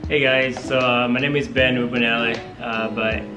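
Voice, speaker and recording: A man's voice: medium pitch, little pitch movement, moderate pace, echoey room, over music. Man with a Tanzanian accent. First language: Swahili. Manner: eager, rambling with many fillers. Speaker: medical student guest. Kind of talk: casual interview.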